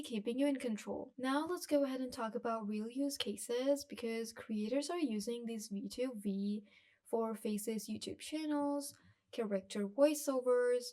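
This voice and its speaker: A woman with a fairly high voice presents a software tutorial. Her delivery is scripted voice-over narration. She explains things step by step, leaning on key phrases for emphasis.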